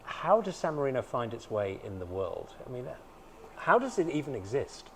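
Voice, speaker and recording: A man hosting an interview, asking questions in a brisk, friendly way. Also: mid-range voice, big pitch swings, a little hiss or hum. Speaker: interview host.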